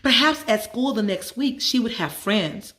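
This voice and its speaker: A woman reading a children's story aloud as a storyteller, with measured, expressive phrasing and dramatic emphasis. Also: fairly high pitch. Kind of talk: expressive scripted story narration.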